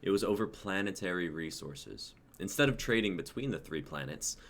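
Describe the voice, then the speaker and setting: A man's voice: low-pitched, lively intonation, moderate pace, fairly bright tone. A man voicing a self-important, pessimistic young techie survivor with a pompous manner in an amateur dramatic table reading. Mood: grim.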